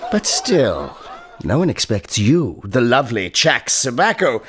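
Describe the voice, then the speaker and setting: A man's voice: mid-range voice, big pitch swings, unhurried, crowd noise. An actor playing a smug, domineering courtroom prosecutor in a radio satire, sarcastic and pompous as he badgers. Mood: mocking, condescending. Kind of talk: dramatic radio satire acting.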